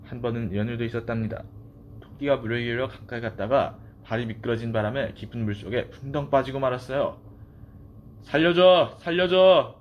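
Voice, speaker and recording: A man's voice: medium pitch, fairly even intonation, fast, lo-fi sound. A man narrating a children's storybook, recorded with noise in the background. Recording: clearly noisy background.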